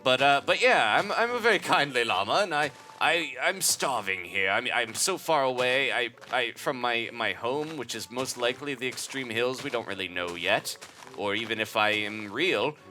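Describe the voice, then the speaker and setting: A man's voice: medium pitch, expressive, moderate pace, sharp tone, a little hiss or hum. A man voicing a kindly, aristocratic, starving llama who rambles and stammers politely. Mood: pleading.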